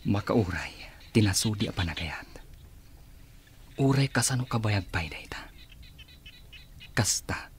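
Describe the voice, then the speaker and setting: Gentle, consoling male admirer played by a man, lowish voice, Ilocano Filipino accent, faint noise, radio drama. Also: animated, a little slow, pauses often. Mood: soft, pleading. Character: gentle, consoling man offering comfort and pure love.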